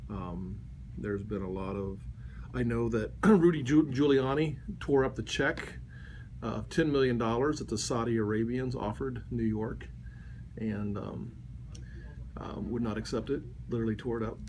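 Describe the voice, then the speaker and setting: A man in his forties answering interview questions, pausing often with ums and restarts. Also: mid-range voice, a little mellow. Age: 40s. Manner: halting, many ums and restarts. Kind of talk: recalling and explaining in a casual interview. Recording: background noise.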